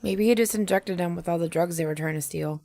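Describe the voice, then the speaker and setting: A woman's voice: fairly low pitch, fast talker, vocal fry, mellow, no room sound, clean studio sound. A woman co-hosting a podcast, tossing in brief, slangy, offhand ideas in casual chat. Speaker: podcast co-host.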